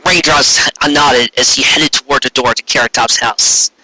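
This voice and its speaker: A man reading a story aloud in an expressive live style, with a casual way of talking. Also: medium pitch, moderate pace, no echo, poor audio. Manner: expressive, acting out character dialogue. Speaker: reader of fan fiction.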